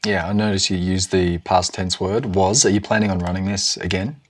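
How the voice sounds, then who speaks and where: A man hosting an interview, calmly affirming and asking gentle questions. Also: low-pitched, fairly quick. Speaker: podcast host. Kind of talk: conversational interview.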